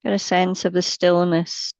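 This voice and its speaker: A woman leading a guided meditation as a mindfulness teacher, gently and without hurry, leaving long pauses between short phrases. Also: fairly low pitch, flat delivery, no room sound, muffled recording.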